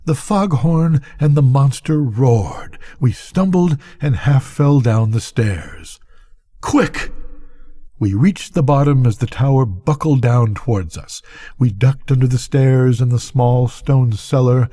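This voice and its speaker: An older man reading a bedtime story aloud as a storyteller, with deliberate, dramatic phrasing. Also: medium pitch, warm tone.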